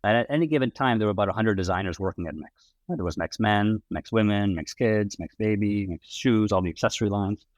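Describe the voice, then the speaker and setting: Low-voiced Dutch man, relaxed chatter. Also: a little fast, dry recording. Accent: Dutch with American-tinged English. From Netherlands. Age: 40s. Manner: relaxed and chatty, many fillers and restarts. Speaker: podcast guest, hotel brand executive. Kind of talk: casual interview storytelling.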